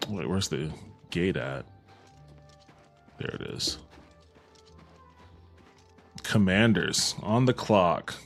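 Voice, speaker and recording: A man's voice: low-pitched, lively intonation, extremely slow, vocal fry, pauses often, faint music behind. A man streaming a game, talking casually and swearing freely as he plays, like a livestream host. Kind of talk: casual livestream chat while gaming.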